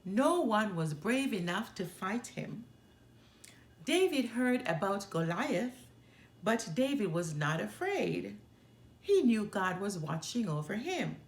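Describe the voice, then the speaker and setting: A woman reading a children's picture book aloud as a storytime host, with deliberate, expressive delivery. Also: mid-range voice, echoey room.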